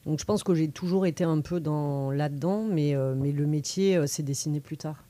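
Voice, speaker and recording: A woman's voice: sits low, moderate pace, dark tone. A woman speaking as an interview guest in an informal, chatty way. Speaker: interview guest, music programmer. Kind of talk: casual chat.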